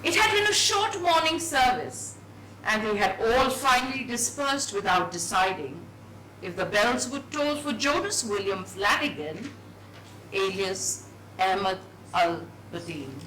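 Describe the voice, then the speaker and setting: A woman's voice: medium pitch, expressive, measured pace, bright, forceful tone. A woman giving a dramatised reading of a story in a hall. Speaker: story reader. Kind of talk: dramatised book reading on stage. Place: echoey event hall. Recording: echoey hall, distant mic, faint noise.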